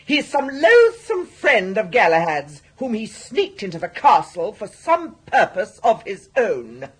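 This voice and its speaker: An actress playing a bossy, indignant sister with an upper-class English accent, domineering and disdainful, in a British radio comedy. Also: female voice, mid-range voice, wildly swooping pitch, a little slow, breathy edge, exaggerated voice. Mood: scornful, triumphant.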